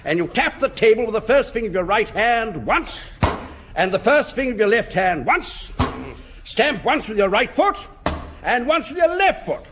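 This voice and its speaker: High-pitched jovial old British officer. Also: male voice, sing-song, moderate pace, fairly bright tone, full character voice. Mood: tipsy relish. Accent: upper-class English. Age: older. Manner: chortling, hearty, instructing with relish. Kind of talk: vintage radio sitcom acting. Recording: noisy old radio recording.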